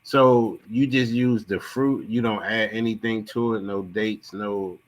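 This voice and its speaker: A man's voice: on the low side, fairly even intonation, measured pace. A man from the DC and Maryland area asking curious questions on a group video call. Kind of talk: casual group chat on a call.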